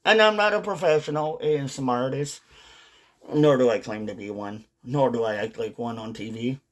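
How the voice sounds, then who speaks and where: A man giving casual, rambling commentary to viewers, with drawn-out phrasing, pauses and some casual swearing. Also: mid-range voice. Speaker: video host, hobby commentator.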